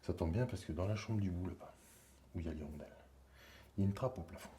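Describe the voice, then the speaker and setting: A middle-aged man hosting a paranormal exploration, talking in a low murmur with joking asides. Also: fast talker, mellow. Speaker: paranormal investigator host. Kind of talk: hushed on-location vlog narration. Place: dark abandoned house at night. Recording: faint background noise.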